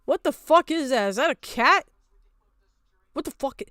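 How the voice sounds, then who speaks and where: A woman's voice: sits high, animated, normal speed, sharp tone, no room sound, clean studio sound. A woman streamer giving a casual, blunt reaction rant, swearing heavily and indignantly.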